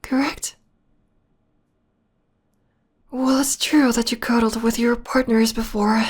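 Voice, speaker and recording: A woman playing a possessive, obsessed young vampire lover in an ASMR romantic roleplay, speaking softly and intimately, often in a breathy whisper. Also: on the high side, fairly even intonation. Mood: possessive, tender. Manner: slow, intimate, sweetly controlling.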